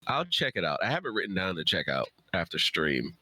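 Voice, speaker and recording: Low voice, animated intonation, casual streamer chat, informal, emphatic. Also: brisk, no echo. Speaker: streamer, host of a guest conversation.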